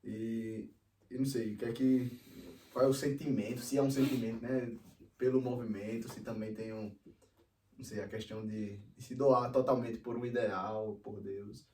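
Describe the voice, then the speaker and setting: A man's voice: mid-range voice, steady tone, normal speed, a little mellow. A man hosting a casual podcast interview, asking loose, rambling questions. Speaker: podcast host. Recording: echoey room, mic at a distance, faint noise.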